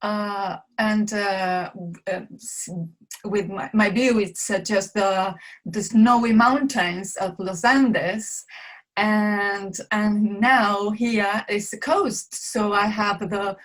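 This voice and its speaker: Slow-speaking woman. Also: medium pitch, steady tone, poor audio. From Chile. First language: Spanish. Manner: halting, searching for words in a second language. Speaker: guest. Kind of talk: casual interview chat.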